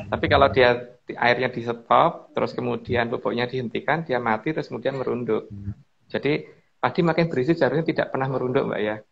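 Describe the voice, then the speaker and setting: A man's voice: mid-range voice, vocal fry, fairly bright tone, slightly rough, dull audio. A man guest speaker explaining old lore in a casual, rambling way, full of fillers and restarts, politely addressing the host over an online call.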